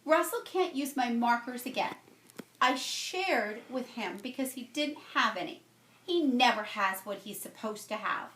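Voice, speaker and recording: Woman as storybook schoolgirl: high, animated, earnest and quick to indignation. Roomy echo. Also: slight background noise. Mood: resentful. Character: young schoolgirl, earnest, easily upset and indignant. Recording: roomy, echoey home-style recording.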